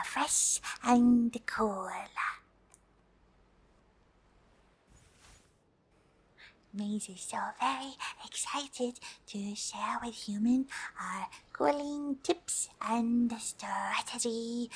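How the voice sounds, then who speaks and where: A woman voicing a friendly, excitable female alien in a soft, breathy whispered ASMR roleplay, speaking with hesitant, naive formality. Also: on the high side, full character voice. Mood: excited, gentle. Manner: hesitant, formal, third-person self-reference with frequent uh.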